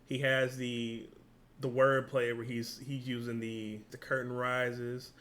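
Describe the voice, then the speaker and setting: A man hosting a reaction video, talking casually and excitedly, rambling when worked up. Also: medium pitch, slow pace.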